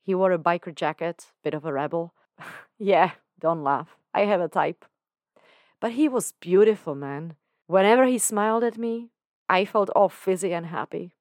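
A woman's voice: on the low side, lively intonation, normal speed, a little mellow, dry recording, studio quality, pitched above the performer's own voice, natural voice. A woman voicing an emotional young man who talks in an earnest, candid way with casual slang. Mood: confessional. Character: young man, emotional and confessional, self-doubting.

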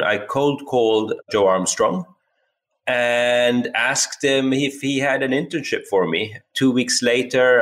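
A man's voice: mid-range voice, fairly bright tone. A middle-aged Italian-born man whose English accent blends British, American and Swedish traces, telling the story of his career as a podcast guest, thoughtful and full of "you know". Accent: mixed British, American and Swedish English. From Italy. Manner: thoughtful, repeats words as he thinks, many "you know" fillers. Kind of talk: casual interview, recounting his career.

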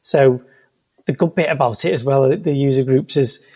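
A man's voice: medium pitch, normal speed, dark tone, slightly rough. A man with a Liverpool accent, a guest in a casual interview, talking hesitantly with many ums and restarts. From Liverpool, England. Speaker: podcast guest, senior consultant.

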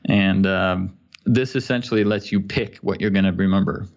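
A man's voice: low voice, steady tone, moderate pace, muffled recording. A man speaking as a podcast guest, explaining things in an informal, casual tech-chat way. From Sweden.

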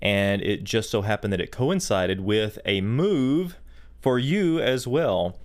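A man's voice: lowish voice, expressive, slow. A man hosting a studio talk show on faith, speaking in an emphatic, preacher-like way. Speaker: host.

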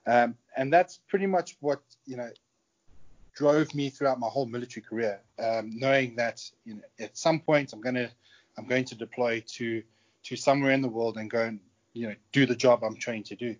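A man's voice: medium pitch, normal speed, highs cut off. Chatty South African man telling stories. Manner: chatty, warm, chuckles often. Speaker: guest, security contractor. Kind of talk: casual interview storytelling.

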